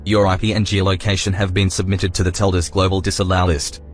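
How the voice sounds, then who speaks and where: A man playing an officious security AI in an audio drama, formal, deadpan and bureaucratic. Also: sits low, a little fast, close to an everyday voice. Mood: stern, officious. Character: officious, stern male security AI guarding a system. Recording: music under the dialogue.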